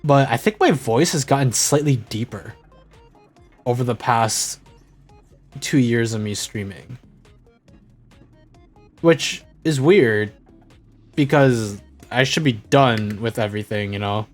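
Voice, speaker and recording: A young man chatting casually as a streamer, rambling and correcting himself, often trailing off quietly. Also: medium pitch, slow pace, long pauses, soft background music. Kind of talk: casual rambling chat while gaming.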